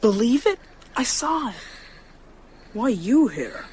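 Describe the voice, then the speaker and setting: A woman's voice: a little high, big pitch swings, slow pace, a little mellow, a little hiss or hum, low-quality recording. A woman playing a bold, earnest young American boy who hunts vampires in a radio drama. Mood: determined.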